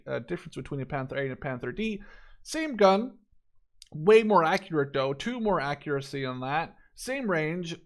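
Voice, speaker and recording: A man in his twenties, a native Dutch speaker, giving a casual unscripted game review, thinking aloud and repeating words for emphasis. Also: fairly high pitch. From Netherlands. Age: 20s. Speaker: gaming YouTuber.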